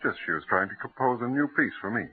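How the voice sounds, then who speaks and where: A man playing a gentle, indulgent husband and doting father in an old-time radio drama. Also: medium pitch, expressive, quick pace, faint music behind, natural voice. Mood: patient, reassuring. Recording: tinny old radio recording.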